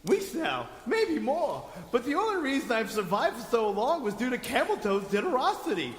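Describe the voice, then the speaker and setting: A man's voice: very high voice, wildly swooping pitch, moderate pace, heavily acted. A man playing a crazy, skinny captive wizard in a comedic audio drama, theatrical and teasing. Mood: dramatic, ominous. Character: crazy skinny bearded captive wizard, eccentric last tribesman. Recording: very echoey, long stone-cell reverb.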